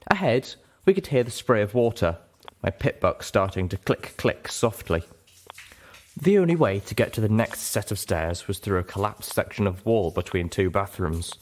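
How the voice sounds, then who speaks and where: A man's voice: lowish voice, expressive, moderate pace. A man reading fiction aloud as scripted audiobook narration. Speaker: reader, narrator.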